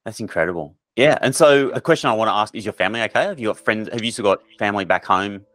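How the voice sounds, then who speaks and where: Low-voiced guy hosting a podcast, gushy and casual, swears a bit. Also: lively intonation, fast talker, a bit bright. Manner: effusive, emotional, swears casually, repeats words. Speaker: podcast host.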